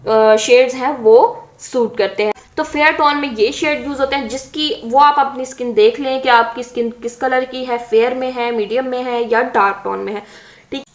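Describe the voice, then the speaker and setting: A woman's voice: sits high, steady tone, moderate pace. A young woman explaining makeup shades in a casual tutorial, chatty and full of hesitations. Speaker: beauty vlogger. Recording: echoey room.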